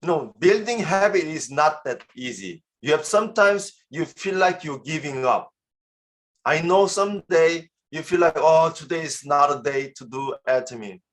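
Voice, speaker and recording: A Korean man with a slightly raised voice addresses a business seminar in English, his second language. He speaks with a clear accent and earnest urging, and he often doubles back to repeat or restart a phrase. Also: on the high side, lively intonation, normal speed. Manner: earnest, repeats and restarts words, coaxing the audience. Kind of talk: motivational business talk.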